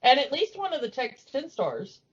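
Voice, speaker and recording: A woman with a fairly high voice chats casually as a podcast co-host. She is talkative and giggly. The audio is a little dull and lo-fi. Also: very animated, moderate pace, slightly rough.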